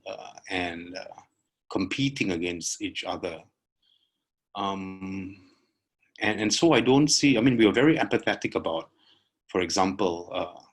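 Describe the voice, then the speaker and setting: A middle-aged man from Singapore joins a webinar on his laptop camera, his voice very deep. He speaks earnestly but haltingly, pausing often on uh as he builds his point. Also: a bit bright. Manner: halting, many uh fillers, earnest. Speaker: webinar participant. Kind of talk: spoken comment on a video call. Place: indoor room at home. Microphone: laptop webcam video call.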